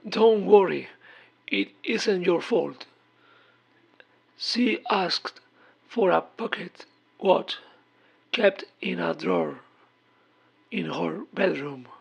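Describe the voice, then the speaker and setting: Man as solemn hospital official, horror drama: formal, measured, husky, thick vocal fry. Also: high-pitched, heavily acted, poor audio. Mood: urgent, reassuring. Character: solemn hospital caller delivering urgent, grave news.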